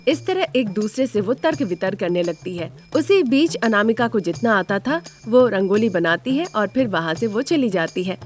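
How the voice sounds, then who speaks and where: A woman's voice: medium pitch, lively intonation, moderate pace, few pauses, faint music behind. A woman storyteller reading a scripted family moral tale as narrator.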